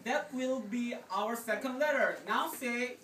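A woman's voice: on the high side, measured pace, a bit bright. Woman as a kindly puppet king, distant and echoey. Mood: encouraging, excited. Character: kind, thoughtful Korean king, eagerly teaching. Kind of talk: amateur puppet-show acting, teacherly. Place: classroom, performers behind a draped table. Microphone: distant camera. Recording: noisy, echoey classroom from far off.

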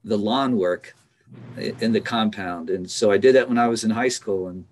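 An American man in his fifties, a professor interviewed on a video call from a small office, telling casual anecdotes in a halting, often amused way. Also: fairly low pitch, darkish tone, poor audio. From United States. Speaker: interview guest, biology professor. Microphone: computer microphone on a video call.

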